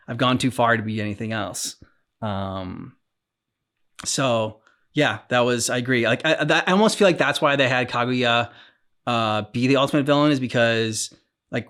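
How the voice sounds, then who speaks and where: Male reaction co-host, mid-range voice, casual and reflective, feels his way haltingly through each thought. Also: mid-range voice, fairly bright tone. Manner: halting, thinking aloud.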